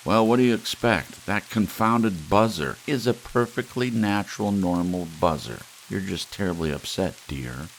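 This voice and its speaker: A man voicing a sharp-tongued, exasperated wife with a biting, sarcastic manner in a short story reading. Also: sits low, moderate pace, few pauses, pitched above the performer's own voice, poor audio. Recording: steady hiss, rain sound underneath.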